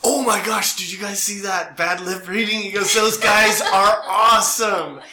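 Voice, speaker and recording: An American man co-hosting a casual comedy podcast, goofy and excitable, often chiming in. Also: sits high, a little slow. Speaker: podcast co-host. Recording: echoey room with background noise.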